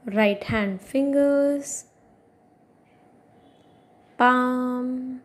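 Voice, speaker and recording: A woman guiding a meditation as an instructor, giving soothing instructions in short phrases with long pauses between them. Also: high voice. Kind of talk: guided meditation, yoga nidra narration.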